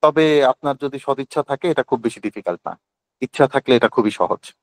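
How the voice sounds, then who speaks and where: Male instructor, Bangladeshi accent, mid pitch, dry close sound, informal step-by-step explanations for an online class. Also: lively intonation, fairly quick, no room sound. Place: online group call.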